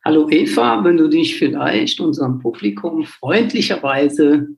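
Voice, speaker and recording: Guy hosting a chatty interview, kinda high voice, rambles a bit, echoey room. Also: animated, measured pace, gravelly. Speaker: interview show host. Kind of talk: casual interview talk.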